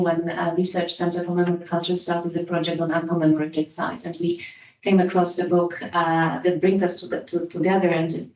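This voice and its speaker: A woman hosting an academic lecture, giving an informal introduction in a halting way and often repeating words. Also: lowish voice, darkish tone. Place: room at a hybrid academic event. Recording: echoey room, distant microphone.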